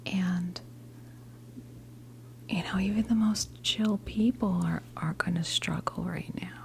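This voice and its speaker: An American woman in her fifties voicing a gentle, reassuring witch tarot reader in a breathy ASMR whisper. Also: on the low side, steady tone, a little hiss or hum. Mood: soothing, reassuring. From New Jersey, United States. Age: 50s. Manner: slow, soothing, hesitant pauses, encouraging. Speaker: tarot card reader in role play. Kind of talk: ASMR whispered role play.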